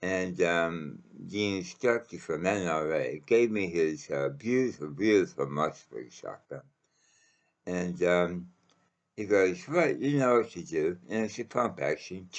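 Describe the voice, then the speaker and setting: A man telling a casual personal anecdote in a halting, rambling way, pausing often as he goes. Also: deep voice, lively intonation. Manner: halting, rambling delivery with frequent pauses. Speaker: storyteller.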